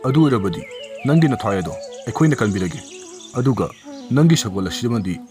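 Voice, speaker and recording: Man playing a rapid-fire, greedy bandit. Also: mid-range voice, expressive, close to an everyday voice. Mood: demanding. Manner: demanding, threatening. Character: menacing, greedy male bandit. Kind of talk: storybook character acting. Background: music.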